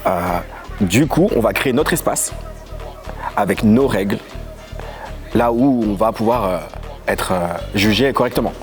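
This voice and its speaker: A young man from Paris, a dance guest in a casual interview who talks in a lively, playful way with quick asides. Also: on the low side. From Paris, of Congolese origin. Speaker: guest, voguing dancer and house leader. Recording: faint music underneath.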